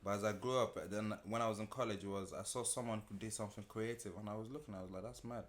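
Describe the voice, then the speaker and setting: A man, telling stories in a relaxed way with slang as an interview guest. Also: on the low side, fast. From Nigeria. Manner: relaxed storytelling with slang, laughs often. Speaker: music producer and interview guest. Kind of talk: casual interview chat.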